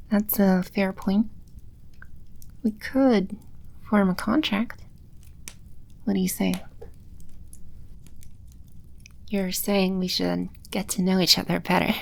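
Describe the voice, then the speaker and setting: A demon empress of the underworld, acted by a woman, speaks in a very slow, deeply creaky voice on the low side. She is coy and teasing, savouring her words with playful confidence. It is an intimate ASMR roleplay delivery, addressed straight to the listener. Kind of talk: ASMR audio roleplay, spoken close to the listener.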